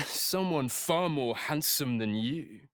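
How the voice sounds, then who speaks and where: A man's voice: medium pitch, expressive, slow, no echo, clean studio sound. An actor playing an arrogant, sneering young male vampire spawn in a video game, haughty and taunting, with a drawling delivery. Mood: haughty, smug. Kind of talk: dramatic video game character acting.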